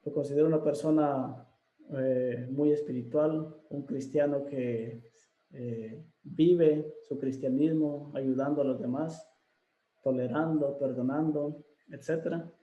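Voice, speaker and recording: A man's voice: fairly high pitch, steady tone, takes it slowly, echoey room, highs cut off. A man hosting a video interview, speaking casually with many fillers and restarts. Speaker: interview host.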